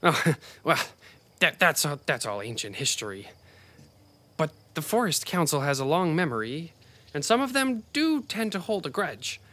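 A woman's voice: low voice, sing-song, moderate pace, fairly bright tone, exaggerated voice. A woman voicing a prickly, proud rabbit in a children's fantasy audio drama, bossy and exasperated, with a haughty, scolding manner. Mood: wary, guarded.

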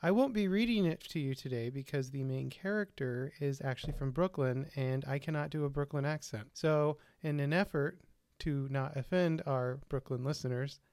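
A man's voice: medium pitch, moderate pace, darkish tone, dry recording. A man hosting a podcast, giving an introduction in an informal, conversational way. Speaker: podcast host and writer.

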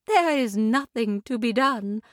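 A woman voicing a gentle, graceful good witch who speaks tenderly and calmly in a children's radio story. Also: very high voice, slow, dry recording, clean studio sound, voice pushed up, exaggerated voice. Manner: tender, calm and lilting.

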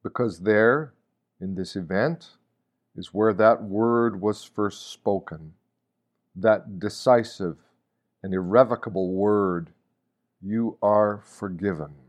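Male reader for a Lenten meditation: grave, deliberate, unhurried delivery in a fairly low register. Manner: solemn, deliberate, with rhetorical questions. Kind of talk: scripted devotional reading, sermon.

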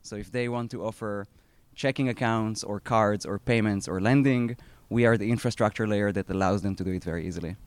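A man in his thirties with an Israeli accent, a startup founder giving a live talk at an outdoor event, halting with many fillers and restarts. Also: lowish voice, smooth, dry recording.

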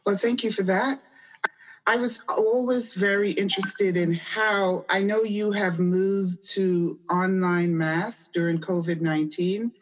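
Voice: medium pitch, steady tone, unhurried, muffled recording. An interviewer asking polite, brief questions.

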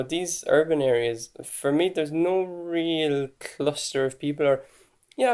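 A man's voice: mid-range voice, darkish tone. A man with an Irish accent hosting a podcast, explaining things in a conversational way with casual asides. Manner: conversational, chatty asides with "like" and "right?". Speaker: podcast host.

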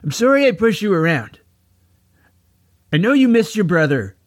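Guy putting on a raspy high kid bully, awkward, up and down, audiobook. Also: sing-song, moderate pace, no room sound, studio quality. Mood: sheepish, tearful. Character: gruff, awkwardly apologetic boy bully. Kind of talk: children's audiobook character voice.

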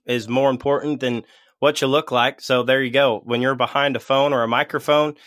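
A man's voice: medium pitch, steady tone, normal speed, a bit bright, no echo, clean studio sound. A man co-hosting a podcast who makes brief, easygoing remarks. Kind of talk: casual chat.

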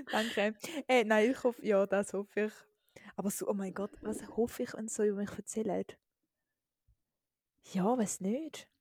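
A woman's voice: medium pitch, expressive, a little slow, long pauses, darkish tone, slightly rough, dry recording, clean studio sound. A young woman in her twenties with an Eastern Swiss German accent, chatting casually as a podcast co-host, giggly and full of fillers. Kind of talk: casual chat.

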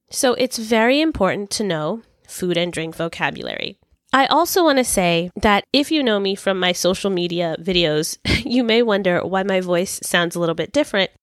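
A woman hosting a podcast English lesson, speaking clearly and patiently while recovering from a cold. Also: mid-range voice, dry recording, clean studio sound. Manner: clear, patient teaching delivery. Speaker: English teacher and podcast host.

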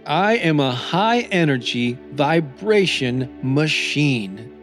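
A man reading scripted motivational affirmations as a podcast host, in a deliberate, encouraging manner. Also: a little high, sing-song, a bit bright. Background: new-age music.

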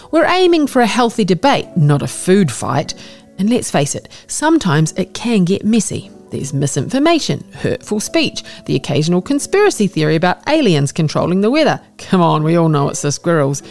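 Expressive low-voiced woman narrator. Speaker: narrator reading a magazine article aloud. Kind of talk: scripted narration of an opinion essay.

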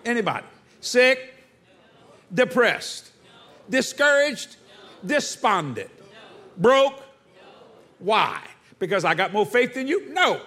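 Preacher man, high bright voice, call-and-response sermon, quizzes the congregation. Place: church.

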